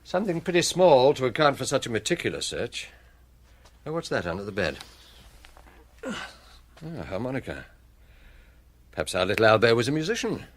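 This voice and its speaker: An actor playing a shrewd, unflappable police chief inspector, wry and thoughtful, in a radio drama. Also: male voice, medium pitch, huge pitch swings, moderate pace, pauses often. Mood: musing, wry.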